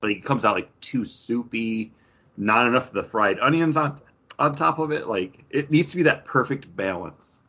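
A man's voice: medium pitch, normal speed, pushed and bright, slightly rough. A man hosting a podcast in a casual, conversational style. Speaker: podcast host. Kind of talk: casual chat, ranking foods. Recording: dull, muffled audio.

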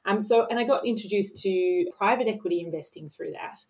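A middle-aged woman from Sydney with an Australian accent, talking casually in an interview about her career, chatty and animated, speaking over a video call. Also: mid-range voice, brisk. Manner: chatty and animated, with many fillers and restarts. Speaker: venture investor guest.

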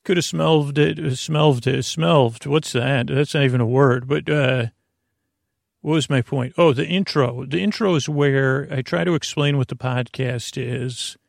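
A man hosting a podcast in a meandering, halting monologue, often trailing off mid-thought with a creaky, gravelly voice. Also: medium pitch, dry recording, clean studio sound. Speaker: podcast host.

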